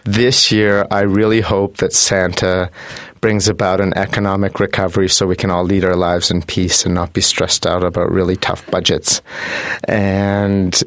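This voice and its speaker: A man's voice: low voice, little pitch movement, normal speed, a bit bright, no room sound. A young man with a Canadian accent answering interview questions conversationally, with a wry, lightly joking manner. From Banff, western Canada. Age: young adult. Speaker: town councillor, interview guest.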